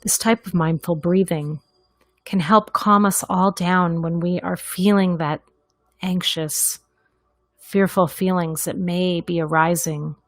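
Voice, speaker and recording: A woman leading a guided meditation in a gentle, deliberate manner, leaving long pauses between phrases. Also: on the low side, fairly even intonation, dry recording.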